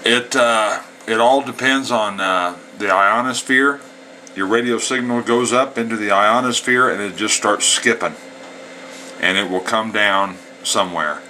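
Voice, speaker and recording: A man's voice: lowish voice, unhurried, sharp tone, low-quality recording. A man operating a CB radio, speaking in a folksy way with CB slang, between radio calls and casual explanation. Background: CB radio static and chatter.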